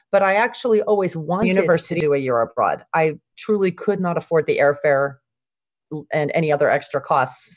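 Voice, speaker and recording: A middle-aged woman from California speaks in a lowish voice, calm and explanatory as a guest on a live online session. The sound is a bit dull and lo-fi, dry and close over a video call. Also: no room sound. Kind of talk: live online interview presentation.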